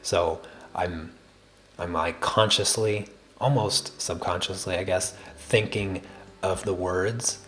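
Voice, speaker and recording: Soft-spoken man with a very low voice. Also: steady tone. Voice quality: very soft, quiet voice. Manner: slow, deliberate cadence with many restarts. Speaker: art livestream host. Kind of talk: casual chat while drawing on a livestream.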